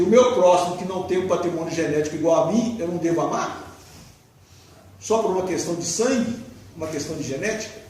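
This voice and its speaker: A man's voice: high voice, a little mellow. A man with a Brazilian Portuguese accent giving a spiritist religious lecture, explaining patiently and posing rhetorical questions. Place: lecture hall. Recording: very echoey hall, distant mic.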